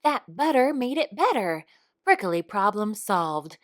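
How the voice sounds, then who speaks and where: A woman voicing an excitable male scorpion who exclaims and explains earnestly in a storybook read-aloud. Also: mid-range voice, measured pace. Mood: delighted.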